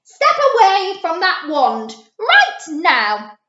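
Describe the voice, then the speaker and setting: Woman putting on a screeching spoiled storybook girl, very high, echoey room. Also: huge pitch swings, slow pace, bright, forceful tone, full character voice. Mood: angry. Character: Cinderella, spoiled shrieking angry girl. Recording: roomy, slightly dull recording.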